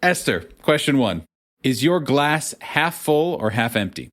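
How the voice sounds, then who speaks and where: A man's voice: mid-range voice, big pitch swings, a little slow, fairly bright tone. A man with a mid-range voice hosts an interview in a casual, chatty style. He wanders into wordy asides and likes a playfully mock-dramatic delivery. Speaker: interview show host.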